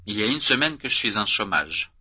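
A man reading out language drill prompts as an instructor, slow and clearly articulated. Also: fairly low pitch, very animated, sharp tone. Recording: dull lo-fi tape recording.

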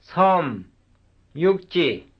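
Man, drill instructor, slow measured cues, fairly high voice, muffled lo-fi sound. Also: sing-song, slightly rough. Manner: slow, measured cue words, one at a time. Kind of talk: reading substitution drill cues. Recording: dull lo-fi recording.

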